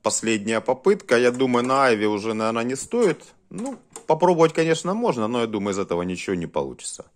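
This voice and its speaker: A man giving casual hands-on commentary as a video host, talking haltingly in short muttered phrases. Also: mid-range voice, very animated. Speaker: host of a cassette deck test vlog.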